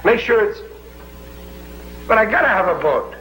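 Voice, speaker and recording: Stubborn flustered husband, stammering, ungrammatical, high voice, roomy old TV sound. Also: male voice, very animated, moderate pace, background noise. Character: blustery working-class husband, stubborn, easily flustered. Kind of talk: 1950s sitcom acting.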